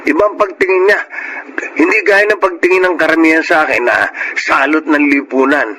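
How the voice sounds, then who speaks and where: A man playing a rough-edged, blunt young man with a Filipino accent in a radio drama, talking in colloquial style. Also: on the high side, fairly quick, pushed and bright. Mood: earnest. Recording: noisy radio drama recording.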